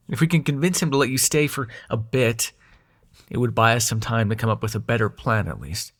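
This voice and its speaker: A man playing a snarky but secretly soft-hearted young demon prince in an intimate audio roleplay, teasing the listener and turning hesitant when showing affection. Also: mid-range voice, creaky, husky, heavily acted. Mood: wry, resigned.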